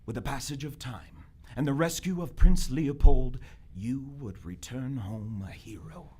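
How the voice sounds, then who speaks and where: A man playing a stuffy, dutiful Victorian gentleman envoy, formal, precise and politely insistent, in live radio theater. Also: mid-range voice, measured pace, slightly rough. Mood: earnest, measured.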